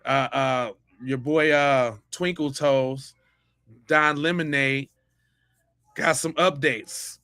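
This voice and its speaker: A man's voice: mid-range voice, steady tone, slow, pushed and bright, no echo, studio quality. A man hosting a podcast with slangy, mocking, unfiltered live reaction commentary. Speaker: podcast host.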